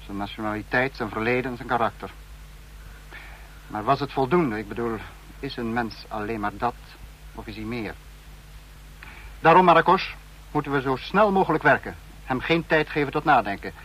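Brief: a calculating state security chief, measured and brooding, mid pitch with a breathy edge. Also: male voice, animated, a bit bright, low-quality recording. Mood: cold, brooding. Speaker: spymaster in a radio play. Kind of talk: vintage radio drama acting.

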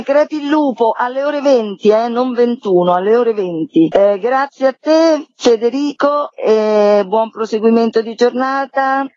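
A woman's voice: fairly high pitch, darkish tone. A woman speaking casually as a theatre director in a radio interview, informally thinking aloud. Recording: dull lo-fi sound, dry.

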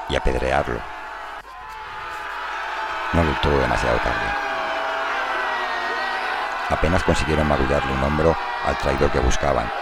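A man narrates a literary story for radio in an extremely deep voice with a gravelly creak. Loud music runs underneath his reading throughout. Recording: prominent music bed under the voice.

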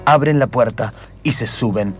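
A man's voice: medium pitch, normal speed. Argentine man narrating radio theatre, music behind. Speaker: narrator of an improvised radio play. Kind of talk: dramatic radio play narration.

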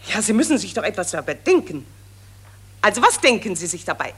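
An actress playing a pushy, gushing female war reporter in a radio play, pressing a soldier with insistent questions in a breathy voice. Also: medium pitch, sing-song, fairly quick.

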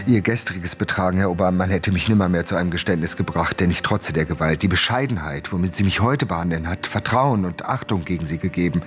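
An actor playing a proud, defiant fugitive outlaw in a German radio play, speaking formally and theatrically. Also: male voice, sits low, fast, few pauses, faint music behind, lightly acted. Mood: grave, solemn.

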